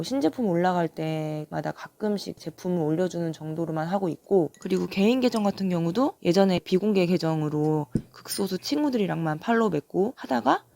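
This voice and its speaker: Young woman vlogger, hoarse and gravelly from a sore throat, low mellow voice, calm and sincere. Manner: calm, earnest. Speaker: online seller and vlogger. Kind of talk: calm vlog talk to viewers.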